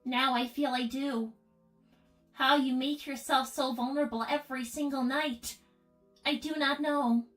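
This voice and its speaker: A woman's voice: high-pitched, steady tone, unhurried, fairly bright tone, faint music behind. A woman voicing a young robot girl in a livestream roleplay, solemn, withdrawn and formal in her speech. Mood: somber. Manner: formal, precise, subdued. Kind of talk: improvised in-character livestream roleplay.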